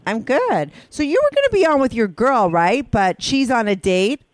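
A woman's voice: a little high, big pitch swings, moderate pace, dry recording. A woman of about fifty with a New Jersey accent, hosting a podcast in a chatty, rambling way, swearing freely and laughing at herself. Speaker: podcast host. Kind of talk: casual rambling podcast intro.

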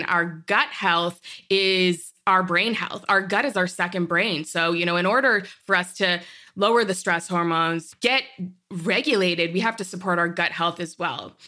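Guest: woman, bright, slightly low voice, earnest explainer on a health podcast. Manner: earnest, lists remedies, says "you know" a lot. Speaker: podcast guest, trauma biology expert.

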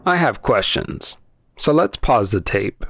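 A man's voice: medium pitch, sing-song, slow, a bit bright, slightly rough, no room sound, clean studio sound. A man hosting a podcast, telling a true-crime story in a conversational narration style. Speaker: podcast host.

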